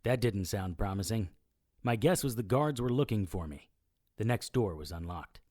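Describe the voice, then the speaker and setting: An actor playing a wisecracking, sardonic immortal detective in a noir audio drama, narrating and trading dialogue. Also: male voice, on the low side, lively intonation, moderate pace, a little mellow. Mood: wry, tense. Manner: wry, sarcastic. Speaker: lead character and narrator.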